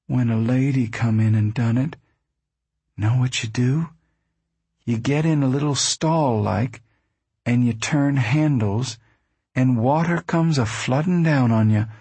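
A man voicing an excited young country woman in a storybook, husky. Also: mid-range voice, no echo.

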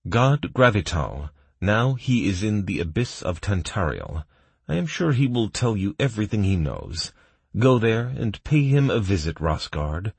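A man's voice: lowish voice, vocal fry, darkish tone, husky, dry recording, voice pushed up, full character voice. A man voicing a smug nobleman who taunts in a grand, mocking manner.

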